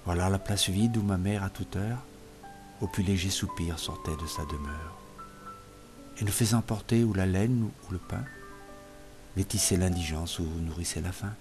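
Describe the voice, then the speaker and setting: A man reciting poetry in a solemn, expressive literary style, in measured phrases that sink softer as lines end. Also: deep voice. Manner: measured phrases falling softer at line ends. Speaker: poetry reader. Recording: music playing behind the voice. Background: music.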